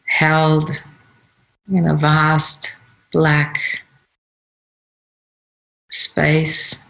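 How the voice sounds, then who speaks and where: A woman leading a guided meditation, giving gentle instructions in short phrases with pauses. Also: sits low, completely flat delivery, vocal fry, low-quality recording. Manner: unhurried, pausing between short phrases.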